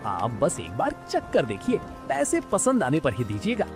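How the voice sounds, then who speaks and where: A man's voice: medium pitch, huge pitch swings, moderate pace, music in the background. A man playing a humble, hardworking street food seller in a cartoon, polite, earnest and hopeful. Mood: polite, persuasive.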